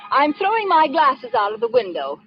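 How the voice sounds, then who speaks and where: A woman playing a reserved young librarian in 1940s radio drama, speaking every word clearly and precisely. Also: high-pitched, big pitch swings, moderate pace, faint music behind. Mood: coy. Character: prim young female librarian turning bold. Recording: dull lo-fi 1940s broadcast with faint hiss.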